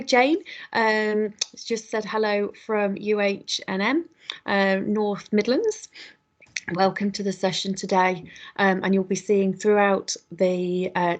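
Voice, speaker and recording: A woman with a slightly croaky voice, hosting an online webinar and welcoming attendees in a chatty way, with lots of ums and quick self-corrections. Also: mid-range voice. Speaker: webinar host. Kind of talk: welcoming an online audience, housekeeping.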